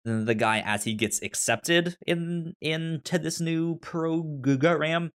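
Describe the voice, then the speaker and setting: Slow-talking man with a pronounced stutter. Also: on the high side, fairly bright tone, clear, resonant tone. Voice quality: pronounced stutter, repeating sounds and syllables. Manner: halting, informal delivery. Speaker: podcast co-host. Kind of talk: casual chat recommending and discussing TV shows.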